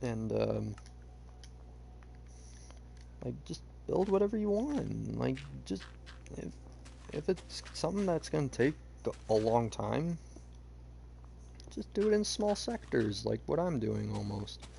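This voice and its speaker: A young man chatting casually while he plays a game, rambling with lots of fillers, his voice a bit hoarse. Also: mid-range voice, sing-song, takes it slowly, pauses often, warm tone, slight background noise. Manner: rambling, hesitant, many fillers. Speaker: streamer. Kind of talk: casual gameplay chat.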